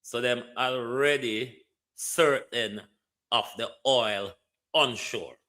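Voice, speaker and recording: A man making quiet, muttered side comments. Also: mid-range voice, slow pace.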